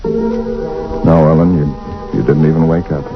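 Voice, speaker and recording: A frightened young husband recounting a night of horror in an old radio play. His voice sits very low and tight with dread, catching on repeated words. The broadcast sounds dull and old, with loud music underneath. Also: huge pitch swings, slow, vocal fry, heavily acted. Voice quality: deep, tense voice with nervous stammers. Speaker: narrator and lead character. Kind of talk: 1940s radio drama acting.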